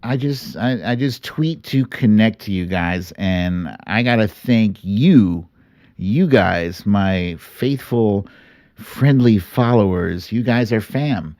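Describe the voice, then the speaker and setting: A man's voice: fairly low pitch, expressive, slow, a little mellow, dry recording, clean studio sound. A middle-aged man hosting a livestream, chatting casually and earnestly to his followers as he tells stories. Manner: chatty, earnest, chuckles and quotes himself animatedly. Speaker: host of a livestream show. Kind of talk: casual livestream chat to followers, telling stories and reading comments.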